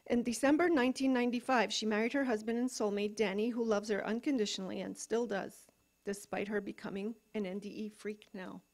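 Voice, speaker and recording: A woman at an open mic in a coffee house, reading a story aloud in a soft, hesitant way with filler ums. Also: medium pitch, fairly even intonation, brisk. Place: coffee house stage, live event.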